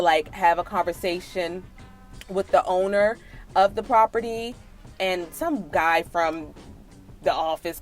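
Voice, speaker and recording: An American woman telling a personal story and giving advice in a casual, slangy, emphatic way, speaking straight to her listeners. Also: medium pitch. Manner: emphatic, slangy, sisterly direct address to listeners. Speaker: storytelling vlogger.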